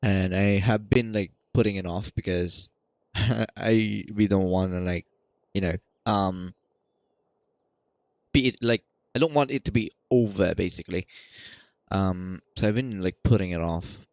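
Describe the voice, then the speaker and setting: A man with a lowish, creaky voice giving casual gaming commentary. He rambles and halts, circling back over his words, in a dry close recording. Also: a little mellow, dry recording, muffled recording. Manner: rambling, halting, repeats himself. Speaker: gaming video creator. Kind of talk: casual let's play commentary.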